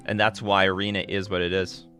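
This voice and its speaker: A man in his thirties chats on his livestream, jumping between thoughts and restarting sentences as he answers viewers. His voice is bright and sharp, with soft music playing underneath. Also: low voice, fairly quick. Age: 30s. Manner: rambling, excitable, restarts sentences. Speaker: livestream host. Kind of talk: casual live-stream chat answering viewer questions.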